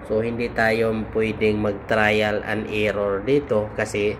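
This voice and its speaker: A Filipino man giving an informal instructional walkthrough, speaking haltingly in short phrases with pauses. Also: lowish voice, level tone. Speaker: host of an electronics how-to video. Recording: clear background noise, vehicle-like hum.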